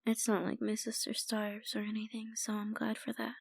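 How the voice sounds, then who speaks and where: A woman playing a troubled young painter in an intimate ASMR roleplay, speaking softly and haltingly with sighs and trailing phrases. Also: on the high side, monotone, vocal fry, dry recording, clean studio sound. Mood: worried, subdued. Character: troubled young woman painter, a stranger venting family worries.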